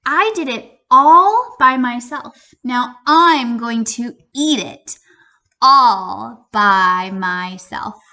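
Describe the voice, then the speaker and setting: A woman voicing an industrious little red hen from a storybook, firm and indignant, with emphatic, drawn-out words. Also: fairly high pitch, animated, sharp tone, heavily acted. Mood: indignant, proud.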